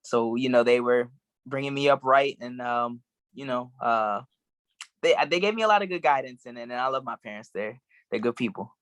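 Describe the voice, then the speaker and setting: Relaxed man with a dry close voice. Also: mid-range voice, moderate pace, no room sound. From Maryland, USA. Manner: relaxed, rambling with many fillers. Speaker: guest actor. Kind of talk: casual interview answers.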